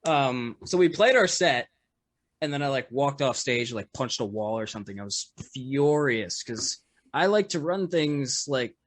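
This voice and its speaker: A man, a musician guest on a podcast, with a loud voice, telling a story in casual chat full of fillers and restarts. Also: medium pitch, animated, unhurried, dry recording. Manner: rambling, lots of fillers and restarts, laughs often.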